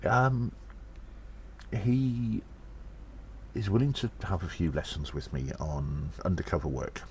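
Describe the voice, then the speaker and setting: A man playing an earnest undercover gnome agent in an audio drama, reporting in a measured, halting, formal and conspiratorial way. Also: deep voice, sing-song, a little mellow, a little hiss or hum, exaggerated voice. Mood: confiding, measured. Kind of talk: first-person audio drama monologue, spoken report.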